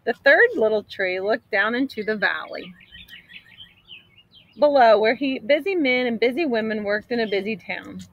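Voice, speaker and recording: Higher-voiced woman reading a storybook aloud. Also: moderate pace, highs cut off. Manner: expressive, dramatic storybook delivery. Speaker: storybook reader. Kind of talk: read-aloud storytelling from a picture book. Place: outdoors in an open grassy area.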